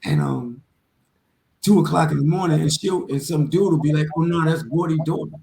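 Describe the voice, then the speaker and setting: A man's voice: a little high, brisk, vocal fry, warm tone. A middle-aged man chatting casually in an emphatic, slangy, repetitive way. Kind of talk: casual, streetwise chat. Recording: roomy echo with faint noise.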